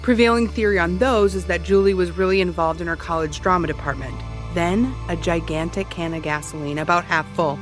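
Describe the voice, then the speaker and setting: Actress as dry folksy lady detective, lowish voice, teases and builds the drama, podcast drama. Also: brisk, few pauses, soft background music, lightly acted. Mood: dramatic storytelling. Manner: dry, teasing, storytelling for dramatic effect. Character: wry small-town female private eye, teasing and folksy. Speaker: private investigator being interviewed. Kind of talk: fiction podcast acting, interview style.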